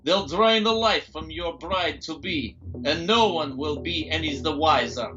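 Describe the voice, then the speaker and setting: An actor playing a menacing doctor villain in an audio drama, theatrically courteous and falsely polite. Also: male voice, fairly high pitch, a little slow, muffled recording, lightly acted. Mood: quietly menacing. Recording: music under the voice.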